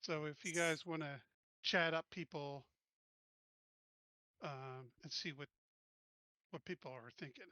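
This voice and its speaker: A man's voice: on the high side, unhurried, pauses often, sharp tone, dry recording. A man in his fifties playing a tabletop roleplaying game, chatty and self-deprecating, forgetting names. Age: 50s. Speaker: player. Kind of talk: casual chat at a game table.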